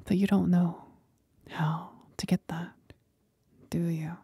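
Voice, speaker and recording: Seductive demon for ASMR roleplay, played by a woman: husky breathy whisper, creaky low edge, manipulative and mocking. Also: flat delivery, mellow, dry recording, exaggerated voice. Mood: tempting. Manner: slow, breathy whispering with deliberate pauses.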